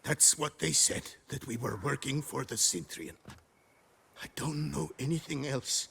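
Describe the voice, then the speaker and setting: A man playing a cowed, frightened bandit underling in a video game, with a raspy voice and halting, pleading delivery. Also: medium pitch, a bit bright, slight background noise. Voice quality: raspy, creaky.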